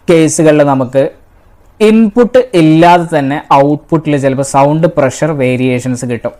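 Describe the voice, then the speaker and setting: Female instructor, Malayali accent, low-pitched voice, didactic exam-prep lecture delivery with deliberate emphasis on key points. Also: mellow. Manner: didactic, asks and answers own questions, repeats key points. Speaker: engineering instructor.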